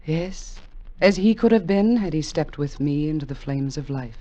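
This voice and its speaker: A woman's voice: low voice, animated, moderate pace, dark tone. An actress playing an ageless immortal queen, commanding and lovesick, with solemn, formal speech in the style of 1940s radio drama. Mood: solemn longing. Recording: dull, muffled 1940s radio recording.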